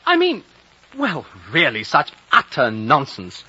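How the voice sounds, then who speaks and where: Sceptical, impatient young British man, forceful bright voice, lively swoops, exclamatory, 1950s radio acting, band-limited sound. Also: on the high side, takes it slowly, slightly rough, full character voice. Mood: scornful. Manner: exclamatory, insistent, scornful. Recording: dull, band-limited 1950 radio broadcast recording.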